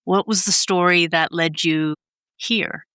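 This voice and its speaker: A woman's voice: on the low side, steady tone, measured pace, sharp tone, smooth, no echo, studio quality. A woman hosting a podcast interview, who asks questions attentively and encourages her guest. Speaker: podcast host.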